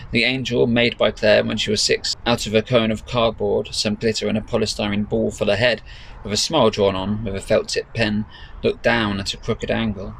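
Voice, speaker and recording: A man reading his own story as dramatic narration, with measured, deliberate pauses. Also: fairly low pitch, vocal fry, fairly bright tone, low-quality recording. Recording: faint background noise.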